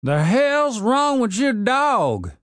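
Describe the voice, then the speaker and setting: A man voicing a cranky old rural man who keeps zombie servants, grumbling in a gruff, drawling way. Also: extremely high pitch, very animated, slow, fairly bright tone, dry recording, studio quality, voice pushed up, heavily acted. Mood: angry. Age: older.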